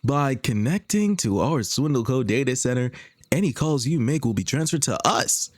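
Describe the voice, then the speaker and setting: A man's voice: medium pitch, lively intonation, moderate pace, no room sound, clean studio sound, natural voice. A man playing an upbeat, slick parody infomercial host, with theatrical sales patter and exaggerated emphasis. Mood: salesy. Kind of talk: comedic scripted infomercial roleplay.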